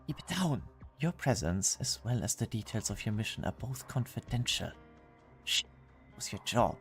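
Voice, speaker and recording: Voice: mid-range voice, very animated, moderate pace, faint music behind. An actor playing a stern, formal, dryly exasperated royal officer in an amateur game fan dub. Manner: formal, clipped, dryly reproachful.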